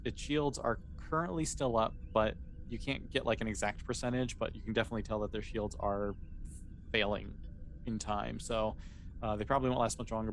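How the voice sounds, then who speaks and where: A man casually improvising narration as the game master of a tabletop role-playing game, with conversational, halting phrasing. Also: mid-range voice. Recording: clear background noise.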